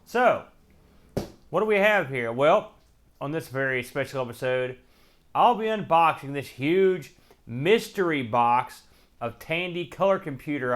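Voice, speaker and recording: A man's voice: on the high side, a bit bright. A man hosting a retro gaming show, giving casual, wry unboxing commentary in folksy slang as he thinks aloud while handling items.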